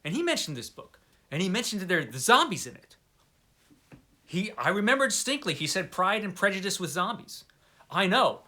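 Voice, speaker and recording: A man with a slightly high, bright and forceful voice talks casually as a book vlogger. He is playful and tongue-in-cheek, leaning on his declarations with emphasis. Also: very animated, brisk. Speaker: book vlogger, host of the channel. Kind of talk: casual chat to camera, answering book tag questions.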